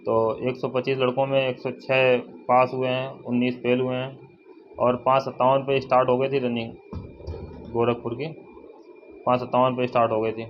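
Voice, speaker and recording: Young man, casual update vlogger, monotone, halting and repetitive, mid-pitched, dull recording. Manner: halting, repetitive, restating figures. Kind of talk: casual informational update to viewers. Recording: dull lo-fi, faint noise, birdsong.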